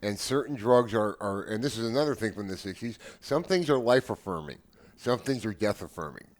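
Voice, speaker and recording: A man's voice: fairly low pitch, lively intonation, a little fast. A man in his seventies talking casually as a podcast guest, often repeating words and phrases as he works toward his point. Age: 70s. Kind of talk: casual conversation.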